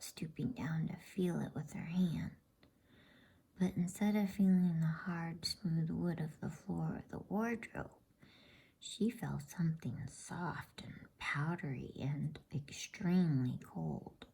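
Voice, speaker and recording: A woman reads a bedtime story as ASMR in a very breathy, hushed whisper. Her delivery is slow and gentle, with a mellow, dark softness to it. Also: deep voice.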